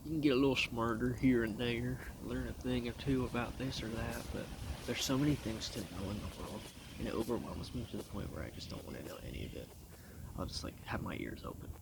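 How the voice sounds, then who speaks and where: A man's voice: medium pitch, a little fast, vocal fry. A man chatting casually to viewers in a goofy, self-mocking, easygoing way. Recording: loud background noise.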